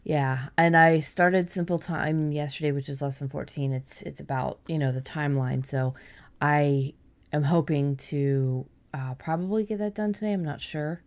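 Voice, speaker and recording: Low-voiced woman hosting a chatty podcast. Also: steady tone, normal speed, a little mellow. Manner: chatty, many restarts and fillers. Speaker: podcast host. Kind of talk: casual podcast chat.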